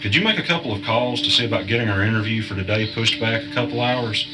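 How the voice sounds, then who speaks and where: A man's voice: lowish voice, quick pace, music in the background, natural voice. An actor playing a suspicious, stubborn man under stress in a naturalistic audio drama. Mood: weary, determined.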